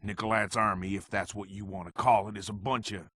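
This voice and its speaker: An actor playing a blunt, dismissive military pundit with a folksy manner in a video game newscast. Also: male voice, lowish voice, fairly even intonation, a little fast, a bit bright, no room sound, studio quality.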